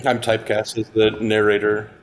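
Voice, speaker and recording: A man in relaxed, informal conversation as a podcast guest. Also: fairly low pitch, normal speed, slight background noise, rough recording. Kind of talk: casual chat.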